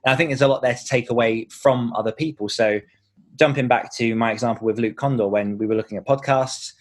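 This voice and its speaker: A man's voice: mid-range voice, fast. A man giving earnest, explanatory answers in a conversational podcast interview, thinking aloud as he goes. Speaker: podcast host and author being interviewed.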